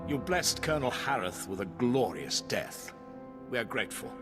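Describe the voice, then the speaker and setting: A man acting as a menacing death-cult zealot in a sci-fi game. He is grandiose and taunting, his pitch moving dramatically over music. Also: sing-song, moderate pace, natural voice. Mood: grim reverence. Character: menacing, death-worshipping male imperial fanatic. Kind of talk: scripted video game acting. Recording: music behind the voice.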